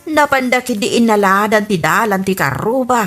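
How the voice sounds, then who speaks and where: A woman's voice: medium pitch, expressive, normal speed, fairly bright tone, soft background music. A woman with an Ilocano accent playing an exasperated, nosy neighbourhood woman in a comedy radio drama. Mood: scolding.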